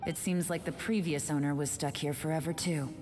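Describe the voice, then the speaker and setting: Woman playing a low-voiced, cool, shrewd operative. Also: steady tone, lightly acted. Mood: composed, sober. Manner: measured, composed, matter-of-fact. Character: cool, shrewd, composed adult woman, frank and analytical. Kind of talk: video game voice acting. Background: game music.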